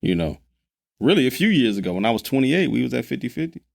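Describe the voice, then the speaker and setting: A man's voice: medium pitch, very animated, fast talker, gravelly. A man in his thirties co-hosting a podcast, talking in a laid-back, loose, slangy way and laughing often. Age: 30s. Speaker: podcast co-host. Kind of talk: casual chat.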